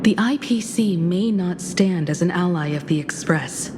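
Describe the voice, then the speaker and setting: A woman playing a composed, resolute space traveler in a video game, speaking in a measured, earnest, formal way. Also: on the low side, noisy background, lightly acted. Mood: earnest, persuasive. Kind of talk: scripted video game voice acting. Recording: music behind the voice.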